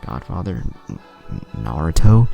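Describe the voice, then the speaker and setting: A man as a boastful, gravelly old ninja sage. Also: rumbling low, sing-song, normal speed, dull audio, over music, exaggerated voice. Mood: surprised, admiring. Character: boisterous older male ninja sage, boastful lecher.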